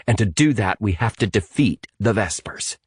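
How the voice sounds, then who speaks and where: A man's voice: lowish voice, animated, normal speed, breathy edge, dry recording. A man voicing a stiff, determined teenage girl in an adventure audiobook, dry and defensive in manner.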